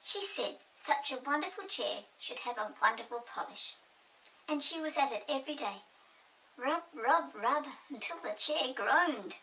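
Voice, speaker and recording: A woman voicing a small, lively, chirpy pixie in an excitable storybook reading. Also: high-pitched, a little slow, voice pushed up, full character voice. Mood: proud, chirpy. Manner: emphatic, excitable. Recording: distant mic with hiss.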